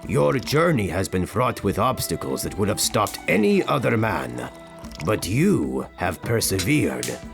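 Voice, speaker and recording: A man playing a venerable, kindly old rabbi in an audio drama, speaking gently like a teacher of prophecy. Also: lowish voice, big pitch swings, vocal fry, over music, full character voice. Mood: grave, reassuring. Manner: slow, gentle, oracular.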